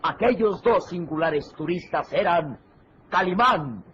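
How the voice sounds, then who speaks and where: A man's voice: high-pitched, animated, unhurried, a bit bright. A man narrating a radio serial in a grandiose, literary, theatrical manner. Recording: noisy lo-fi archival radio recording.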